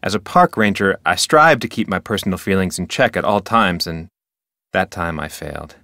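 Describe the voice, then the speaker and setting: A pompous, touchy male park ranger for a video game. His voice sits a little low but rises and dips dramatically. He speaks formally, as a self-important public servant, in a very dry, close recording. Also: lively intonation, normal speed, sharp tone, no room sound, heavily acted. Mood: stiff, subdued. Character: officious, dutiful, touchy park ranger and local history curator. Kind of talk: video game character dialogue.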